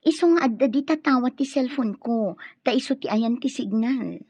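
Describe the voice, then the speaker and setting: An actress plays a scheming, impatient young daughter in a radio drama, speaking with an Ilocano accent. Her voice is fairly high and husky, recorded dry and slightly muffled. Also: moderate pace, darkish tone, no echo. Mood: annoyed, impatient.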